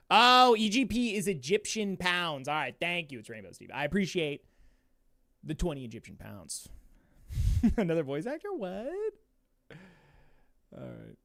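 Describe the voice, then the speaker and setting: A man's voice: high-pitched, sing-song, a little slow, long pauses, fairly bright tone, dry recording, studio quality. A man in his twenties hosting a livestream watch party, chatting casually in slang and laughing often, with a sniffly voice. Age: 20s.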